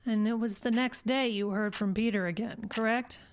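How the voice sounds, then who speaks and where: A woman's voice: on the high side, steady tone, no echo, lightly acted. A woman acting a composed, probing psychologist. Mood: calm, probing. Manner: measured, clinical questioning. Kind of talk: audio drama acting.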